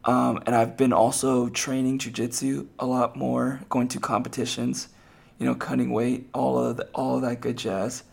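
A man with a hoarse, raspy voice, hosting a podcast and chatting casually on his own, rambling with lots of fillers and restarts. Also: medium pitch, level tone, moderate pace. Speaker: podcast host. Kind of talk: casual solo podcast talk.

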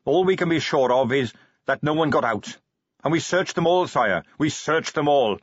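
A man's voice: mid-range voice, moderate pace, a bit bright, no echo, lo-fi sound, natural voice. A man reading an audiobook as a luckless dwarf captain with a nervous, deferential, protesting manner. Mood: pleading protest.

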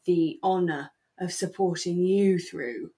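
Thoughtful woman with a lowish voice. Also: slow, dark tone. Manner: reflective, hedging, thinks aloud. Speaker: podcast co-host. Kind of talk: casual review chat about a radio drama.

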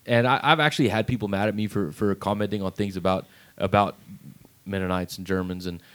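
A man's voice: lowish voice, fairly even intonation, a little fast, a bit bright. A middle-aged man from Texas, co-hosting a podcast. He speaks earnestly in casual chat and often repeats words as he starts a sentence. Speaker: podcast co-host.

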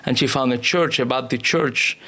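A man's voice: mid-range voice, pushed and bright. A man in his forties with a Cuban accent, a native Spanish speaker, telling his story conversationally as an interview guest and searching for words. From Cuba. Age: 40s. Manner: halting, searching for words in second language.

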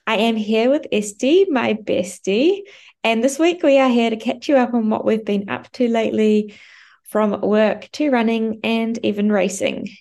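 A woman's voice: on the high side, measured pace, vocal fry, a little mellow. A young Australian woman co-hosting a podcast, talking in a chatty way with fillers, her nose blocked up from a cold. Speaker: podcast co-host. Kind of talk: casual podcast chat.

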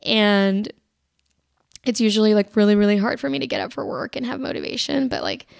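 A young woman with a raspy voice hosting her own podcast, chatting casually and rambling with plenty of "like", tired as she recovers from being sick. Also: mid-range voice, fairly quick, no echo, clean studio sound. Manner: rambling, filler-heavy, lots of "like". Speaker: podcast host. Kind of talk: casual solo podcast chat.

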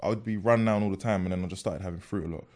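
A man, a podcast guest telling quick, slangy stories in casual chat. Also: fairly even intonation, darkish tone. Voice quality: deep voice.

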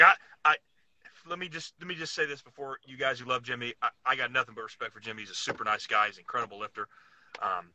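Very bright, fast-talking man, emphatic. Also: on the high side, dry recording, muffled recording. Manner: emphatic, repeats phrases to drive points home; blunt, casual slang and swearing. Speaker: podcast co-host. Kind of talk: casual sports podcast banter.

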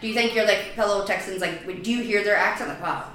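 A woman's voice: mid-range voice, fairly bright tone, reverberant, soft background music. Brash Chicago woman in her thirties. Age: 30s. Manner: brash, crude joking, quick laughs. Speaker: podcast guest, comedian. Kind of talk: casual podcast chat.

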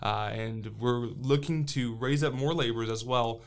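A man's voice: medium pitch, normal speed. A man in his thirties who grew up in Brazil, a missionary answering interview questions in an earnest, plain-spoken way with frequent hesitant fillers.

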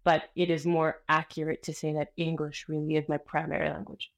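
A woman author speaking as a guest in a casual interview, expressive and energetic as she tells stories. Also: low-pitched, fairly quick, gravelly. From Canada. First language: Spanish.

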